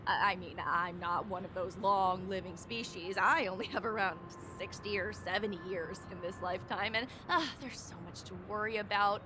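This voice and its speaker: A woman playing a pleasure-loving, polite resort guest in a video game, with a gushing, expressive delivery. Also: medium pitch, normal speed, hardly any pauses, background noise, lightly acted. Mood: defensive, wistful. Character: pleasure-loving, well-mannered female dream resort guest. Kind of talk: scripted game voice acting.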